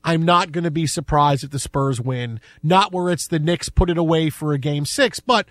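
A man hosting a sports talk show with casual, animated, teasing banter, often repeating his phrases. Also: on the high side, normal speed, no echo. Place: dry studio.

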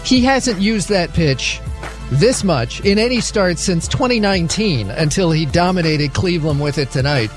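A man reading the sports update on a talk radio show, rattling off scores and headlines with the odd dry aside. His voice sits a touch higher than average, and a faint music bed runs underneath. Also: expressive, normal speed. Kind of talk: sports news roundup on radio.

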